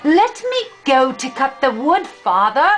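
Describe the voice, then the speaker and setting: A humble, good-hearted fairy-tale lad, read by an older woman, high and unhurried. The storybook lines come over music. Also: very animated. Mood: eager.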